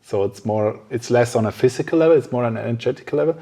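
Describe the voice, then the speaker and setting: A man's voice: mid-range voice, quick pace, vocal fry, warm tone. A man hosting a casual podcast conversation, speaking hesitantly as he searches for his words. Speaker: podcast host.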